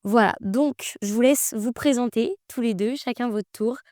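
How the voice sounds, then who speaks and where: A woman's voice: on the high side, moderate pace, dark tone, dry recording, studio quality. A young woman hosting a casual podcast, asking questions in a friendly way with some fillers. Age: young adult. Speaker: host.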